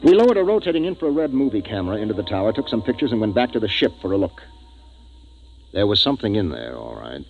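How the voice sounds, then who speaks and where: An intense American starship captain, lively delivery. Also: male voice, on the low side, fast talker, narrow, tinny audio, soft background music. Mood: grave narration. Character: decisive, brooding male spaceship captain narrating his expedition. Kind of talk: 1950s radio drama acting and narration. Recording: 1950s radio drama recording.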